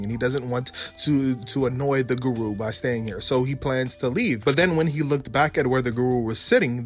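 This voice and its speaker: A man giving a casual, slangy recap of a video game story, swearing freely and gushing like a fan. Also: mid-range voice.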